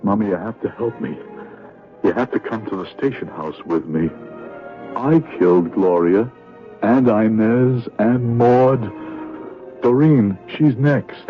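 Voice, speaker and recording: A man's voice: fairly low pitch, slow pace, faint music behind. An actor playing an anguished, insecure, lonely man in a 1970s radio drama, earnest and pleading. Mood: troubled, desperate. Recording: tinny narrowband vintage radio recording.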